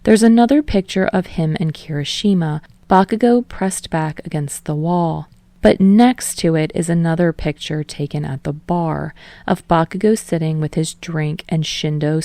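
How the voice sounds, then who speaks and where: A woman's voice: low-pitched, unhurried, mellow, no room sound. A woman reading a fiction story aloud as narrator, in a scripted narration style. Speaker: audiobook narrator.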